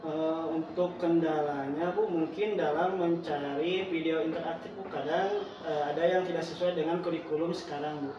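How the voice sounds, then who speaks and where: Echoey, distant, higher-pitched hesitant man. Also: steady tone, normal speed, dull audio, over music. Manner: hesitant, polite, deferential. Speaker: teacher being coached. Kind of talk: role-play coaching conversation, answering questions. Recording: very echoey room, distant mic, noisy.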